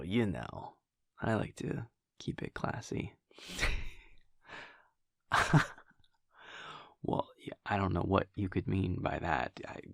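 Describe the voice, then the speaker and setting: Casting: male actor as a wry, affectionate vampire boyfriend for intimate audio roleplay; unhurried, gravelly, casual and teasing. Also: low-pitched, no echo, clean studio sound, heavily acted. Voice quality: breathy, gravelly, often dropping near a whisper. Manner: wry, teasing, hesitant, chuckling casual delivery.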